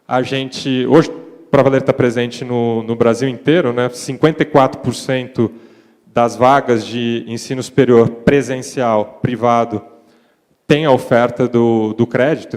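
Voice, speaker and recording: A Brazilian man presents at a conference in an easygoing way, filling his pauses as he goes. His voice stays level with little rise and fall, and it rings out in a large, reverberant hall. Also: mid-range voice. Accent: Brazilian Portuguese. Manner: relaxed, conversational, with frequent fillers. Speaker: conference panel speaker.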